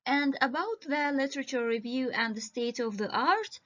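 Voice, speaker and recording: A woman from Iraq giving an academic conference presentation over a video call, halting and full of hesitations. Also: high voice, lively intonation, fairly bright tone. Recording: muffled lo-fi video-call audio.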